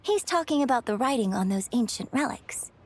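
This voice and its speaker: An actress playing a polite, gentle young lady in a video game, courteous and patient as she explains things. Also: a little high, lively intonation, a little fast. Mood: patient, explaining. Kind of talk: English video game voice acting. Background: game music.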